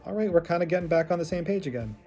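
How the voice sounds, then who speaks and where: A man's voice: fairly high pitch, animated, very fast, over music. A man giving casual political reaction commentary in a condescending way.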